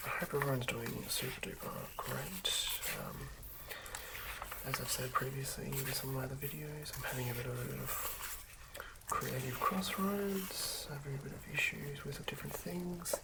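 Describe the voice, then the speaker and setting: A hushed ASMR host speaks in an unhurried, halting whisper with a creaky, crackling edge. The pitch sits in a middle range. The lo-fi recording has clear background noise and small clicks behind the voice. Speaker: host of tabletop roleplaying ASMR videos. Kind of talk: whispered ASMR, reading and commentary.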